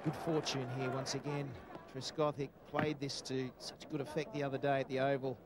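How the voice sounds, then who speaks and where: A man's voice: medium pitch, flat delivery, fairly quick, very noisy. A man commentating cricket live, admiring and sympathetic in tone. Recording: archive broadcast with loud music and crowd.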